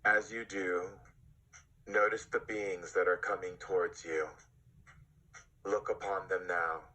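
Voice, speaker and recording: A man guides a meditation in a low voice at a very slow pace. He gives breathy, hypnotic instructions and leaves long pauses between them. The tone has a bright, forceful edge. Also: steady tone, rough recording.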